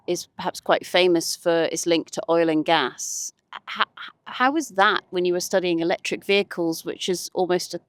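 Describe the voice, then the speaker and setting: A woman's voice: fairly low pitch, no echo. A woman hosting a podcast, asking questions in a casual, chatty way. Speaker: podcast host, interviewer. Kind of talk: casual interview chat.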